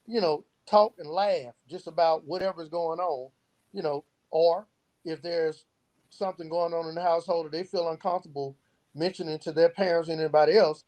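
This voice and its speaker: A man's voice: on the high side, steady tone, fairly quick, darkish tone, poor audio. A man speaking as an interview guest, explaining earnestly and posing rhetorical questions that he then answers himself. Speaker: founder of a youth outreach organization, podcast guest.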